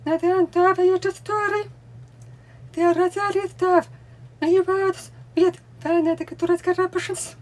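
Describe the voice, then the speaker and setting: A woman with a Russian accent, a native Russian speaker, explaining at home in a deliberate way while she signs along. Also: extremely high pitch, level tone, slight background noise. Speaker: language learning vlogger. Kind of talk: explaining while showing books. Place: home room with bookcase, indoors.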